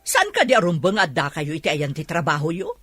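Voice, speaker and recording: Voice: medium pitch, huge pitch swings, fairly quick, fairly bright tone, breathy edge, dry recording, faint music behind, exaggerated voice. An actor with a Filipino accent playing a stern, unsympathetic boss in a radio drama. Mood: scolding.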